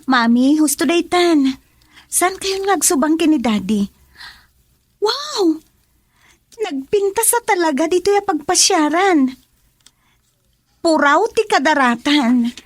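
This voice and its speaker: A woman's voice: sits high, animated, takes it slowly, pauses often, no room sound. A woman playing a sweet young student in love in an Ilocano radio drama. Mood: excited.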